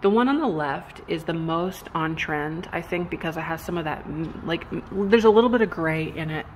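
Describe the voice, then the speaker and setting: A woman with a slightly low voice talks in a casual vlog style. She thinks aloud in an informal, exclamatory way. The handheld recording carries clear ambient noise behind her. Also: normal speed. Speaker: vlogger. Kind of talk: casual vlog chat to camera. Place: indoors, empty house rooms and a supermarket. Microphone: handheld phone or camera, held out. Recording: clear background noise, handheld camera audio.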